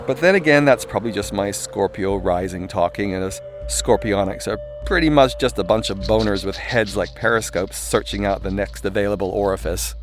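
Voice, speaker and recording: A man's voice: fairly low pitch, moderate pace, few pauses. A man reading his own irreverent self-help audiobook in a theatrical, cheeky, conversational way, with sweary asides. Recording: voice over background music. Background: composed music and soundscape.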